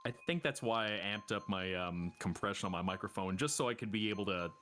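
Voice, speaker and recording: A man's voice: fairly low pitch, fairly quick, pushed and bright. A man from New Jersey chatting casually during a gaming livestream, playfully slipping into showy voices. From New Jersey, USA. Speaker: live streamer. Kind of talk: casual chat while gaming. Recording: music playing behind.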